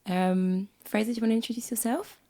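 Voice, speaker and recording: A woman in her twenties from Switzerland with a slight Swiss accent, co-hosting a casual podcast chat at home, a little croaky from a cold and quick to laugh. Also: on the high side, steady tone. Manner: chatty, warm, laughs often. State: hoarse from a cold. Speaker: podcast co-host. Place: small music room at home.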